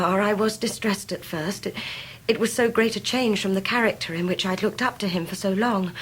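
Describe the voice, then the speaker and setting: An actress playing a devoted, dignified young Victorian wife in a period radio drama, fervent and formal in delivery. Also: female voice, mid-range voice, slightly rough, lightly acted, poor audio.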